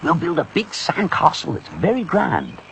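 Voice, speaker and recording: A man voicing an excitable, adventurous young girl in a children's storybook, with a breezy, exclamatory way of talking. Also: on the high side, normal speed, creaky, slight background noise, voice pushed up, full character voice, poor audio.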